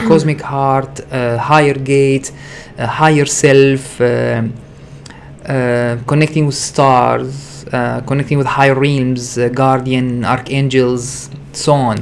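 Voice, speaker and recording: This is a man of ordinary pitch leading a spiritual practice session on a livestream. He talks earnestly and without a script, often pausing, repeating himself and reshaping his sentences. A light background hiss sits under his voice. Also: fairly even intonation. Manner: earnest, repetitive, searching phrasing with frequent hesitations. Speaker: spiritual teacher hosting a livestream. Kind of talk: unscripted spiritual teaching talk.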